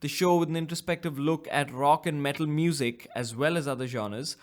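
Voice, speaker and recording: A man's voice: fairly high pitch, fairly quick. A man in his twenties with a slight cough hosts his own solo podcast, rambling casually and correcting himself. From India. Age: 20s. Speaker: podcast host.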